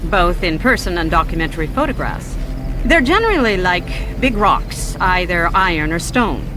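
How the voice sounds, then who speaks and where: A woman playing a cautious science professor in a radio drama, speaking formally and deliberately. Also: mid-range voice, lightly acted. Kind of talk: radio drama expert interview.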